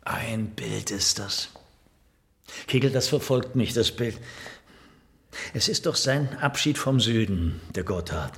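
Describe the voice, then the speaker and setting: An actor playing a brooding, easily irritated West Berlin curator in a naturalistic German radio drama, clipped and insistent, repeating himself. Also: male voice, on the low side, expressive, slow, pauses often, fairly bright tone, exaggerated voice.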